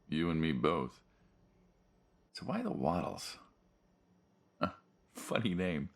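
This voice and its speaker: An actor playing an aging American mob man in a video game, folksy and casual but quietly menacing, probing an old friend. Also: low voice, very animated, slow pace, full character voice. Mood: wry, chatty. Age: older. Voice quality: gravelly, husky. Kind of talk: naturalistic video game dialogue.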